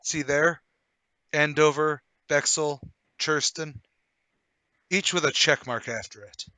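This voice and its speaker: A man playing a hearty, brash English-gentleman type with theatrical banter in a radio play table read. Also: fairly high pitch, slow pace, no room sound. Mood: grave, urgent. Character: cheery, brash gentleman brother-in-law with hidden cunning.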